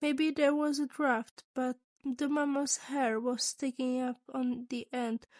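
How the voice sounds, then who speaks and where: A woman's voice: high voice, little pitch movement, takes it slowly, a little mellow, no room sound, studio quality. A woman reading scripted fan fiction aloud as the narrator of an audio story. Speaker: podfic narrator.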